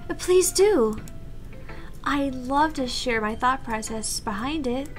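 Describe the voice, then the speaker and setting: Visual novel schoolgirl, played by a woman: polite, careful, easily hesitant, slightly high voice over music. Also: expressive, takes it slowly. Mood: flustered.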